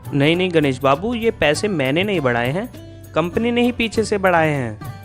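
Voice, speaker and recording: A man's voice: a little high, animated, normal speed, natural voice. A man playing an honest, polite milkman in a Hindi moral-story cartoon, speaking earnestly and deferentially. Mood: pleading. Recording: music behind the voice.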